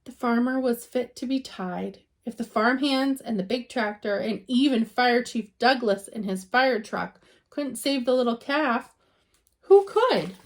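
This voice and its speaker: A woman reading a children's picture book aloud in an expressive storytelling style, with dramatic emphasis and drawn-out words. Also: on the high side.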